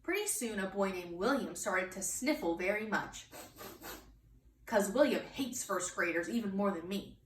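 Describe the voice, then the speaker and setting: A woman's voice: mid-range voice, expressive, moderate pace. A woman reading a children's book aloud expressively, with emphatic delivery aimed directly at young listeners. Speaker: storybook reader for children. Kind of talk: expressive read-aloud narration. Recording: echoey room, distant mic, faint noise.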